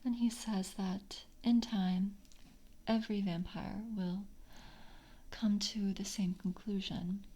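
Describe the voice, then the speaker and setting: Soft half-whispering woman as a jaded vampire. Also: mid-range voice, fairly even intonation. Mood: calm, businesslike. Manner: measured, gentle, officially polite. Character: calm, jaded female vampire coven screener. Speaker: ASMR roleplay performer. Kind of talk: soft-spoken ASMR roleplay.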